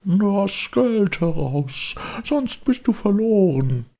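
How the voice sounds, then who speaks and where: A man voicing a menacing storybook highway robber, hollow and growling, drawing out his threats. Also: sing-song, mellow, full character voice. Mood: cruel threatening. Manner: slow, threatening, drawn-out.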